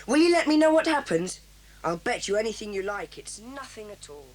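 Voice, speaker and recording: A woman's voice: a little high, sing-song, a little fast, music in the background. A woman playing a bossy, scornful boy leader. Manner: dismissive, sure of himself.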